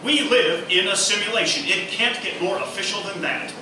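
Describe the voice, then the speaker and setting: A man's voice: high voice, normal speed, lightly acted. Earnest male news reporter played by a man, formal bulletin delivery for radio drama, distant and noisy live recording. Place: live performance room, distant mic. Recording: echoey, noisy live theatre recording from afar.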